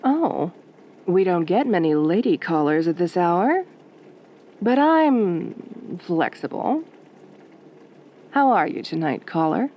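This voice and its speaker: A woman playing a sultry, teasing late-night radio DJ in a soft-spoken roleplay, with a velvety voice and a flirty, wry drawl. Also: on the low side, very animated, takes it slowly, long pauses, slight background noise. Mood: amused, flirty.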